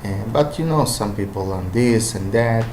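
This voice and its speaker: A man's voice: on the low side, moderate pace, a little mellow, slight background noise. A man with an Israeli accent and Hebrew as his first language, giving language-learning advice in a hesitant, casual monologue full of fillers and restarts. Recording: roomy echo.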